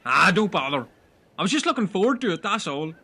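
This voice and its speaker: A man acts out a melodramatic, foolish castaway in a comedy audio drama. His voice is very high and piercingly bright, swinging widely as he whines and gets excited. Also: brisk, slightly rough, exaggerated voice. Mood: sulky. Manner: whiny, melodramatic.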